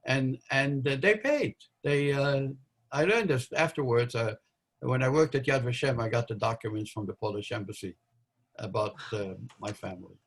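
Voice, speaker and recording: An older man in his eighties, born in Belgium, tells his memories to an interviewer. He speaks at a middle pitch, pausing often with fillers and restarting his sentences as he searches for the words. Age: 80s. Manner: halting, many fillers and restarts. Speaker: interview guest, Holocaust survivor. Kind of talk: recounting memories in a live interview.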